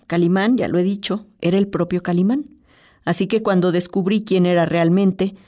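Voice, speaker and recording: Mellow, lowish-voiced woman presenter. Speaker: radio presenter. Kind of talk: scripted personal radio essay narration. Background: music.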